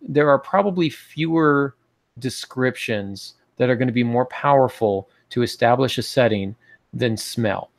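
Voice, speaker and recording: A man in his forties with an American accent talks through writing advice on a podcast. His medium-pitched voice has plenty of gravelly fry. He speaks informally and a little hesitantly, in a dry, close home-office sound. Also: no echo. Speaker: podcast co-host teaching fiction writing. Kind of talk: informal instructional podcast talk. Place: home office, on a video call.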